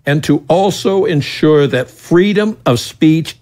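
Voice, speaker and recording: Man acting a slow, solemn congressman. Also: a little high, a little mellow. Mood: grave, insistent. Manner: measured, oratorical. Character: solemn, earnest congressman addressing his colleagues. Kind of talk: formal political speech, audio drama.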